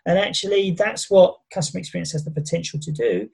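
A man's voice: fairly high pitch, animated, fast, gravelly, darkish tone, breathy edge. A man leading a business discussion, talking in an enthusiastic, conversational way.

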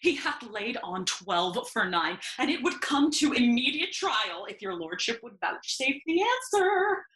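A woman's voice: fairly high pitch, very animated, unhurried, fairly bright tone. A woman playing a foppish, fawning courtier in a Shakespeare audio play, with gushing, affected, flowery delivery. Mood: pompous, eager. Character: Osric, foppish, fawning, giggly royal courtier.

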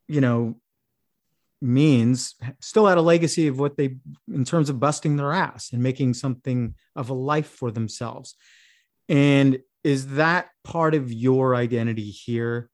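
A man in a mid-range voice hosts an interview. He asks searching questions and lets them unfold across several clauses. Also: medium pitch. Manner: probing, builds long questions. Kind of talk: conversational interview.

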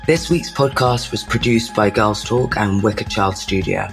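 A man's voice: on the low side, measured pace, vocal fry, soft background music, poor audio. A man hosting a podcast while he has a cold, joking with his guest and then reading a scripted sign-off. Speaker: podcast host. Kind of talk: casual interview and scripted outro.

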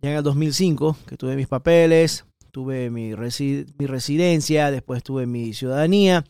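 A middle-aged man from Ecuador with an Ecuadorian Spanish accent, hosting an opinionated political podcast in an emphatic, repetitive way with sudden high stresses. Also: normal speed, a little mellow, smooth, dry recording, clean studio sound. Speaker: podcast host.

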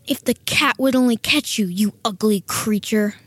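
A woman's voice: medium pitch, moderate pace, fairly bright tone, breathy edge, dry recording, clean studio sound. A woman playing a spiteful, jeering young duckling in a storybook dramatization, with a mean, taunting manner. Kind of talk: full cast storybook dramatization.

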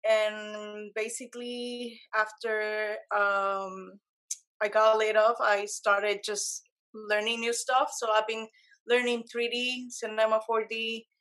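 A woman's voice: a little high, flat delivery, takes it slowly, fairly bright tone, rough recording. Young woman with a Puerto Rican accent. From Puerto Rico. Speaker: guest, photographer and motion graphic designer. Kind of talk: casual interview answers.

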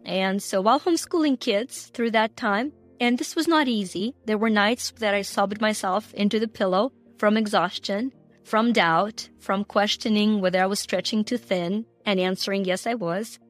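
A woman's voice: medium pitch, normal speed, faint music behind. A middle-aged woman from Ukraine with a Ukrainian accent, telling her personal story emphatically as an interview guest. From Ukraine, former Soviet Union. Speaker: guest, entrepreneur and coach.

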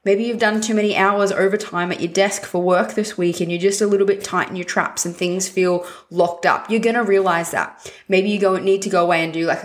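Hoarse, husky woman hosting a casual fitness podcast from home; upbeat and blunt. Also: fairly low pitch. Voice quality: raspy, husky. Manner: upbeat, direct, swears casually. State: hoarse from a chest infection. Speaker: podcast host and fitness coach.

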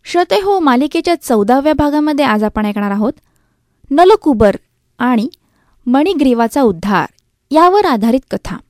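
A woman announcer introduces radio programming. Her voice is high-pitched, the delivery scripted, and the sound close and dry. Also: big pitch swings, normal speed, a little mellow, no echo.

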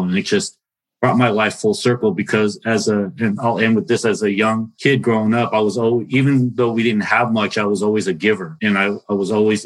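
Casting: male chef panelist, low voice, heartfelt and earnest. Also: rough recording. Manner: earnest, heartfelt, repeats himself when starting sentences. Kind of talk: panel discussion.

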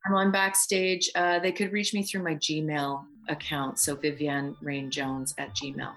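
A woman's voice: sits low, level tone, unhurried, fairly bright tone. A middle-aged woman from Canada, talking casually and reflectively as an interview guest. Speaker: guest voice actor and writer.

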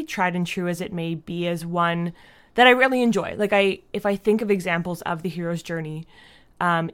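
A woman answering interview questions as a guest in a casual, thoughtful and halting way, often saying "like". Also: fairly low pitch, smooth. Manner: thoughtful, halting, frequent "like" as a verbal habit. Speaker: podcast creator and writer, interview guest.

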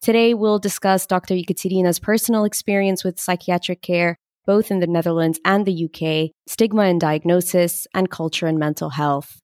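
A young woman hosts a podcast with a lowish voice recorded close and dry. She reads her opening cleanly, then chats with earnest enthusiasm. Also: steady tone, normal speed, few pauses, darkish tone, dry recording. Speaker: podcast host and producer. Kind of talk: scripted introduction then casual interview.

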